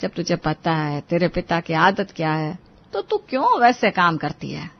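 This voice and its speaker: A woman's voice: fairly low pitch, sing-song, unhurried, full character voice. Casting: woman as comforting, resigned mother, moral-story drama, dull lo-fi sound. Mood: consoling. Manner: soothing, resigned. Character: consoling, resigned mother soothing her grown child.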